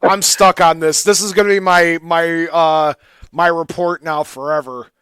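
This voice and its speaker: A man hosts a casual call-in radio show in a fairly high voice. He banters and speaks emphatically, breaking into his own sentences. The sound is dry and close. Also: steady tone, moderate pace, a bit bright, smooth, no echo. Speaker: radio talk show host. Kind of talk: casual call-in show chat about the paranormal.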